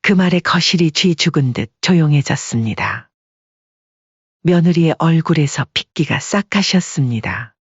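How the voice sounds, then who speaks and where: Husky woman narrating a story. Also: low-pitched, expressive, moderate pace, dry recording. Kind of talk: scripted dramatic audiobook narration. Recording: dull lo-fi audio.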